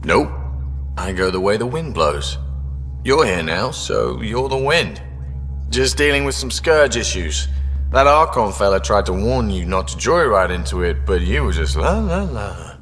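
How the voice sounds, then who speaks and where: A man's voice: lowish voice, very animated, a bit bright. A man playing a roguish, easygoing space drifter in a video game, with a loose, teasing delivery. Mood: teasing, laid-back. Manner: loose, teasing, drawn-out phrases. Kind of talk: video game dialogue acting. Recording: long reverb, music behind.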